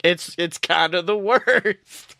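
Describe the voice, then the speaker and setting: A man co-hosting a podcast in casual pop-culture chat, animated, slangy and given to swearing. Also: high-pitched, takes it slowly, gravelly, no echo. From United States. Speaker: podcast co-host.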